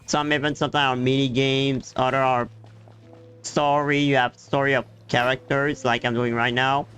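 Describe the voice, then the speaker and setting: A man giving casual live game commentary as a streamer, explaining things haltingly in non-native English grammar. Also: medium pitch, flat delivery, fairly bright tone, smooth. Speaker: gaming streamer. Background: faint game music.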